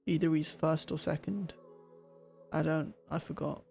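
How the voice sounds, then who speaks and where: A man's voice: fairly high pitch, fairly even intonation, a little slow, creaky. A man streaming a video game, thinking aloud in short, casual, fragmentary comments. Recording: stream mic under loud game music.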